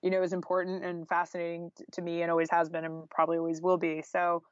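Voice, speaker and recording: A woman's voice: on the low side, completely flat delivery, fast, no echo, dull audio. An American woman talking informally and candidly as a guest author in a podcast interview, peppering her speech with "like" and "you know.".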